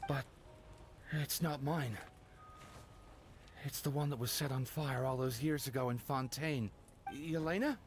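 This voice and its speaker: An actor playing a weary, regretful old man in a video game, speaking haltingly and wistfully. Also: medium pitch, slight background noise, close to an everyday voice.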